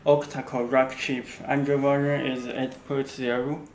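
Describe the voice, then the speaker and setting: A man talks through a product demonstration in halting, simple English that is not his first language, pausing often between short phrases. His voice is gravelly and flat, with little rise and fall, and his pace is slow. A faint hiss of background noise sits under the recording. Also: mid-range voice, rough recording. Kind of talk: unscripted product walkthrough.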